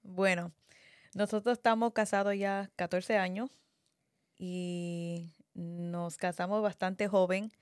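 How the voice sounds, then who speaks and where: Woman, 30s, English native speaker, podcast co-host. Calm, mixes in English. Smooth, clean voice, dry room. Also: fairly low pitch, takes it slowly, dry recording. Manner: calm, slips English words into Spanish. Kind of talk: casual personal chat.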